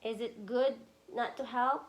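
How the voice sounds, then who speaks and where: A woman pre-K teacher reading a storybook aloud to a child in a gentle manner and pausing to ask him questions. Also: on the high side, fairly even intonation, slight background noise.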